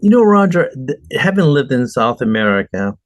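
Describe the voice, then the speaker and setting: A man's voice: medium pitch, big pitch swings, moderate pace, dry recording. Argentine-born man telling his story. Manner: earnest, storytelling, emphatic. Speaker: guest entrepreneur. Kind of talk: conversational interview answers.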